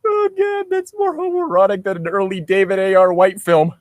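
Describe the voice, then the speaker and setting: A man's voice: high voice, expressive, moderate pace, no room sound. Sarcastic young man, close dry sound. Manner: sarcastic, swears freely. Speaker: reader and co-host. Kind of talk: mocking fan fiction reading with casual commentary.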